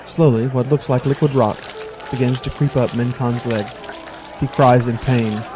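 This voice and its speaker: A man reads descriptive narration for an audio drama. His voice sits at a medium pitch, with music clearly playing behind him. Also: fairly even intonation, normal speed. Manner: descriptive storytelling.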